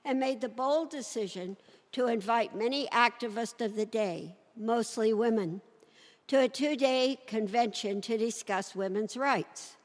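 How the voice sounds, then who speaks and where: A woman reading a scripted introduction to a stage presentation in a measured, formal way, recorded in a reverberant hall. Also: on the high side. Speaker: presenter introducing a readers theater performance. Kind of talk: scripted introduction read aloud. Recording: echoey hall with long reverb.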